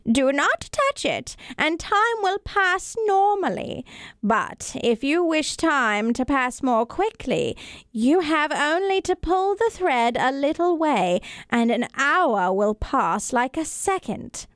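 A woman narrator plays a mysterious old woman from a children's folktale. She draws her words out very slowly and solemnly, in a high voice that rises and dips with each warning. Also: big pitch swings, a bit bright, no room sound, studio quality, full character voice. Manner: slow, drawn-out, deliberate.

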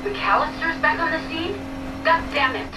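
A woman playing a ruthless crime fixer in a game cutscene, sharp and exasperated. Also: high-pitched, normal speed, lightly acted. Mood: angry. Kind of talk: video game villain acting. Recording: distant echoey sound, loud car noise.

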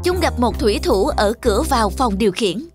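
A woman's voice: fairly high pitch, lively intonation, brisk, music in the background. A woman reading scripted narration for a children's fairy tale. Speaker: storyteller, narrator.

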